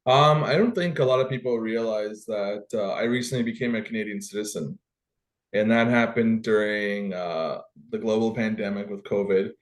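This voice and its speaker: A man from Guatemala, a football coach, talking in an interview in a humble, conversational way with many pauses and restarts. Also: on the low side. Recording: roomy echo.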